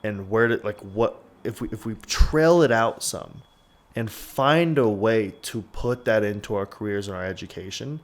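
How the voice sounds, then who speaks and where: A man in his twenties with a low voice speaks frankly on a podcast. He rambles, leans on "like" and swears without pause. His talk is loose and unpolished. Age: 20s. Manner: rambling, frequent "like", swears freely. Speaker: podcast host. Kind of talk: candid casual podcast talk.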